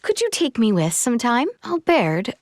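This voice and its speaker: A refined young princess from a video game, with a fairly high voice that moves in very animated swings. She is courteous, earnest and gently curious, choosing formal old-fashioned words with care. The sound is dry and close. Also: no room sound. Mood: polite, teasing, then hesitant, questioning. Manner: formal, archaic courtly phrasing, measured and polite. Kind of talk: video game voice acting, scripted dialogue.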